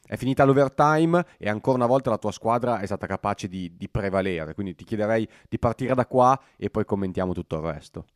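A man's voice: on the low side, lively intonation, a little fast, hardly any pauses, dry recording, studio quality. A man hosting a sports interview on the radio, introducing and questioning his guest.

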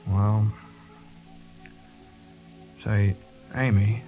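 Young hothead in a radio play, lowish voice, halting, folksy, muffled 1940s broadcast. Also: male voice, little pitch movement, music in the background. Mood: hesitant, tender. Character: Biff, hot-headed, awkward young working man, lovesick. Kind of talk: 1940s radio drama acting.

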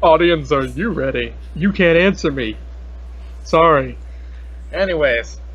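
A young man hosts a casual podcast over an internet call with faint background noise. His delivery is slow and very lively in pitch. He comes across as silly and teasing. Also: dull audio. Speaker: podcast host. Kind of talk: casual joking chat. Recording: noisy internet call recording.

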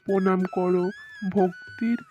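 A woman's voice: mid-range voice, steady tone, measured pace, warm tone, close to an everyday voice. A woman voicing a poor, devout village farmer and father in a cartoon, earnest and pious. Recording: dull lo-fi sound with faint music.

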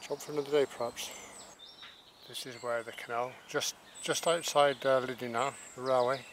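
A man's voice: medium pitch, steady tone, moderate pace, a little hiss or hum. A man narrating a walk outdoors, chatty and admiring, with wry asides. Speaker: walking vlogger narrating. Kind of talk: casual walking commentary. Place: outdoors by a rural estuary and canal.

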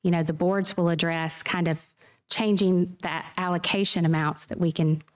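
A woman with a low voice answers legislators' questions from a podium microphone in a large committee room. She sounds plainspoken and leans on a few fillers as she explains. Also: fairly even intonation, moderate pace. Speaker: presenter from a pension system.

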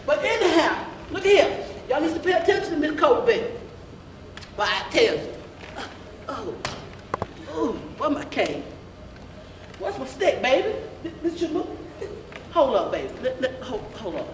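A woman's voice: high voice, very animated, normal speed, long pauses. A woman playing an old field-hand grandmother in a play, folksy, scolding and rambling, with a drawling delivery. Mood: bossy, scolding. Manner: folksy, rambling, calls listeners baby. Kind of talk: amateur gospel stage play monologue, storytelling. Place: church sanctuary stage, mid-sized hall. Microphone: camera some metres away. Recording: roomy church hall, distant camera mic, audience noise.

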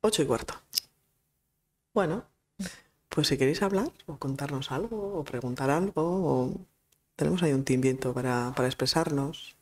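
A woman's voice: a bass voice, normal speed, pauses often, darkish tone. Woman, casual, chatty, table mic, conference room. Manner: informal, chatty, laughs easily. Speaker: grief therapist and speaker. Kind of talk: casual talk moderating discussion. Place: conference room of a cultural centre. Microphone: table microphone.

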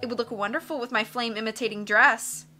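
A woman's voice: a little high, brisk, sharp tone, faint music behind. A woman voicing a vain, glamorous, greedy villainess with a purring, self-assured storybook delivery. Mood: smug.

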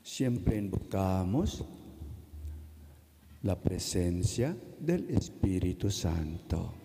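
A middle-aged man with a Filipino accent preaching a Lenten sermon from the pulpit, speaking slowly and deliberately with pauses. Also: low voice, big pitch swings, warm tone. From Philippines. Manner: slow, deliberate, careful delivery with pauses. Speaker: priest giving a Lenten mission talk. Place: large church, at the pulpit. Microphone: pulpit microphone. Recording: long reverb of a large church.

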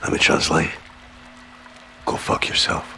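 An actor playing an irreverent special forces sergeant in a video game, with a Scottish accent and a blunt, sardonic manner. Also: male voice, a little slow, bright, forceful tone, slight background noise. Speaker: soldier character.

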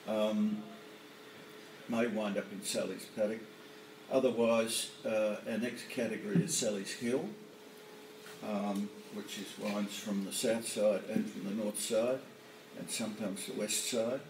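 A middle-aged man, a winemaker, explaining casually to camera in a winery barrel room, relaxed and full of ums and uhs. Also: lowish voice, background noise. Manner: relaxed, filler-heavy, hesitant. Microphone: camera mic from a few metres off. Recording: echoey cellar, distant camera mic.

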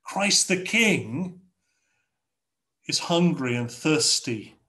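A middle-aged man with an English accent preaching a sermon from a home study over a video call, in a measured, rhetorical way with repeated phrases. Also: a little high, lively intonation, pushed and bright. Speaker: pastor. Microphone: video call webcam.

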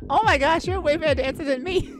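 A woman playing an outgoing, playful, sassy young best friend in an audio roleplay, theatrical and teasing, with drawn-out words. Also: sits high, over music, close to an everyday voice. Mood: excited. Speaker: ASMR roleplay voice actor. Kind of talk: ASMR audio roleplay, addressed to a listener.

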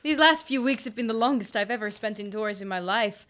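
A woman playing a gentle, independent woodland elf trader in a fantasy audio drama, polite and measured. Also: medium pitch, natural voice.

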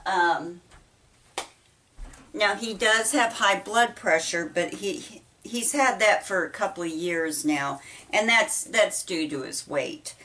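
A middle-aged woman with a Midwestern American accent, chatting casually while she folds laundry, talking haltingly with many ums and restarts. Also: lowish voice, a bit bright, slight background noise. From United States. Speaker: vlogger. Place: small indoor laundry room. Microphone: camera set apart from her. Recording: voice a bit far from mic.

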